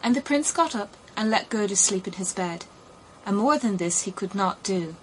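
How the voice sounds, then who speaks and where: A woman's voice: medium pitch, lively intonation, moderate pace, rough recording. Woman narrating fairy tales over background noise. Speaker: audiobook narrator. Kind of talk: scripted fairy tale narration.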